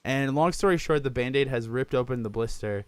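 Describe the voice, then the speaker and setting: Raspy-voiced man, self-mocking podcast talk. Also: medium pitch, brisk, heavy background noise. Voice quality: raspy from a sore throat. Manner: self-mocking, laughs often. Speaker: podcast co-host. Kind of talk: casual chat.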